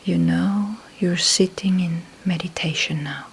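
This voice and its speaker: A woman leading a guided meditation in a soft, breathy near-whisper, calm and unhurried, with long pauses between short phrases. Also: lowish voice, a little hiss or hum. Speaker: meditation teacher. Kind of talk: slow guided meditation instruction.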